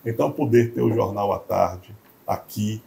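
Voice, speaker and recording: A male host with a gravelly edge to his voice. He leads the conversation slowly, with emphasis on each point. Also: on the low side, dark tone. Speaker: podcast host. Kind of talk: interview conversation.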